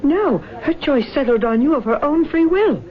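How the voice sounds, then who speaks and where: A woman's voice: high-pitched, lively intonation, normal speed. An actress playing a composed, sly middle-aged mother of the bride, precise and theatrical, in a radio drama. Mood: composed, reassuring. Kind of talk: old-time radio drama acting. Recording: dull 1970s radio broadcast, music underneath.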